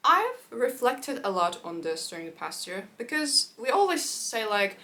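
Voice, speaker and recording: A woman of twenty-one from Turkey, a native Turkish speaker talking casually to camera with quick asides and frequent fillers. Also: fairly high pitch, big pitch swings, pushed and bright. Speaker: YouTuber.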